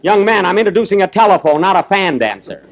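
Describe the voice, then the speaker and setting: A man as a pompous inventor with a lively voice. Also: high-pitched, fast, a little hiss or hum. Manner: indignant, theatrical, exasperated. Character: fussy, pompous male inventor professor. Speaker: comedian host. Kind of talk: 1930s radio comedy acting.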